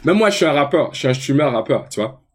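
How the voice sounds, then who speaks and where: A young man with a Quebec French accent, commenting casually on a live stream and switching into English slang with animated outbursts. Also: medium pitch, fast talker. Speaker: streamer reacting to rap videos.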